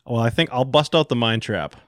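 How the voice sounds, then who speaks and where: Relaxed banter from male co-host, dry sound. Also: medium pitch, brisk, clear, resonant tone, no echo. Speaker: podcast co-host. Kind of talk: casual banter.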